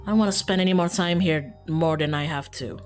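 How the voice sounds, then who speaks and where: A woman's voice: lowish voice, fairly quick, faint music behind, close to an everyday voice. A woman voicing an icy, disdainful female cousin in a visual novel, speaking curtly and coldly. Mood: disgusted, impatient. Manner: curt, cold, dismissive.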